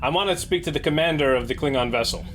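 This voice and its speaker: A man's voice: on the high side, fast talker, a bit bright, slight background noise, natural voice. A man playing a stern, defiant starship captain in a tabletop game, formal and confrontational. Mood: haughty challenge.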